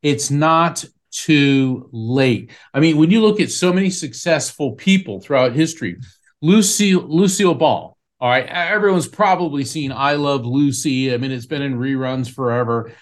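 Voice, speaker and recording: A man, a psychic medium, hosting a call-in show and speaking emphatically in a lively storytelling way. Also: medium pitch, normal speed. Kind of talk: call-in radio show chat.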